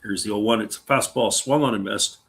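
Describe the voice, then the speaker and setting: A man calling a live baseball game play by play in a relaxed, chatty way, reading viewer comments between pitches, his voice going raspy. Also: brisk. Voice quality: gravelly. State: voice getting raspy.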